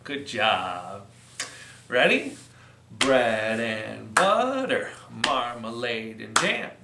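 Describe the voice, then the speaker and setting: Male children's storytime host, playful and encouraging, unhurried delivery, roomy echoing sound. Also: mid-range voice, sing-song, vocal fry, noisy background. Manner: encouraging, playful, simple repeated instructions. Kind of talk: sing-along storytime for young children.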